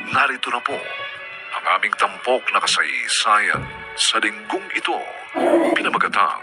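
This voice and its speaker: A man with a Filipino accent narrating a radio drama in a solemn, theatrical announcer's delivery. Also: mid-range voice. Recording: dramatic music behind the voice.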